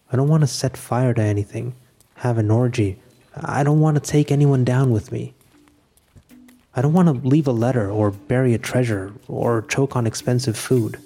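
A man acting a resigned, solitary narrator in scripted audio fiction, speaking in a measured, introspective way about his own final day. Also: medium pitch, warm tone, close to an everyday voice. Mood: calm resignation.

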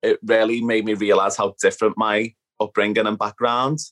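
A woman's voice: a bass voice, level tone, moderate pace. A woman from Liverpool with a thick Scouse accent, talking candidly and casually as an interview guest. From Liverpool, England. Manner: candid, peppers speech with "like". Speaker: podcast guest, activist. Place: at home.

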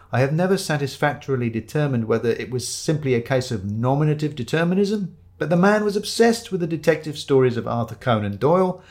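A man narrating a comic first-person story in a wry, dry storytelling manner. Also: medium pitch. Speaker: audiobook narrator.

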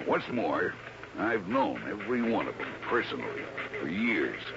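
A low-voiced, gruff police lieutenant. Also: male voice, very animated, normal speed, full character voice. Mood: suspicious, confiding. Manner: accusatory, sardonic. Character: gruff, sarcastic, suspicious male police lieutenant. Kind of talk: old-time radio drama acting. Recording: dull, noisy old radio recording.

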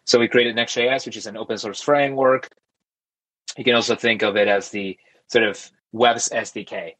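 A young man speaks as an interview guest in a fairly low voice. His talk is loose and conversational, full of fillers and quick restarts. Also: low-quality recording. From Argentina. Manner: relaxed, talkative, frequent fillers and restarts. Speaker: guest, tech founder.

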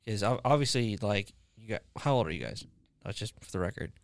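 A man's voice: fairly low pitch, expressive, brisk, dry recording. A man, hosting a casual podcast chat and rambling with many restarts. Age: 20s. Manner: rambling, restarts often, laughs easily. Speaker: podcast host.

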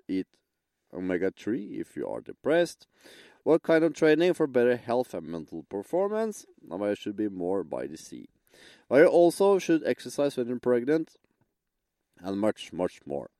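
A man's voice: medium pitch, mellow, no echo, clean studio sound. A man from Norway with a Norwegian accent, a non-native English speaker, hosting a podcast and hesitantly searching for words. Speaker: podcast host. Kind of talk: podcast introduction and interview.

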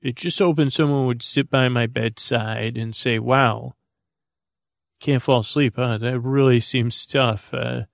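A man hosting a sleep podcast, giving a goofy, whimsical, improvised monologue that meanders haltingly and often trails off. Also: mid-range voice, steady tone, gravelly, dry recording. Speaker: podcast host. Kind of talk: rambling improvised bedtime monologue to help listeners fall asleep.

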